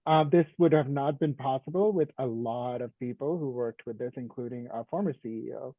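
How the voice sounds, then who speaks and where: A male physician giving a guest presentation on a video call, hoarse from a sore throat and clearing his throat now and then between relaxed, filler-laden explanations. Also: medium pitch, a little fast, no room sound. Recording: dry, phone-like call audio.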